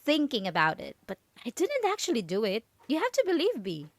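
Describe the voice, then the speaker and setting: A woman's voice: high voice, huge pitch swings, a little fast, dry recording, heavily acted. A woman playing a possessive, overprotective roommate in an ASMR roleplay, stammering nervously as she pleads and coaxes. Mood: anxious pleading. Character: possessive, overprotective yandere roommate, clingy and manipulative.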